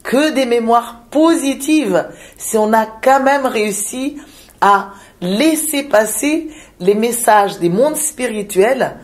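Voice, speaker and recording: A woman from Madagascar, a shaman, speaking in a reflective interview with frequent pauses, hesitations and repeated words. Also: on the high side, lively intonation. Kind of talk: reflective spiritual interview talk.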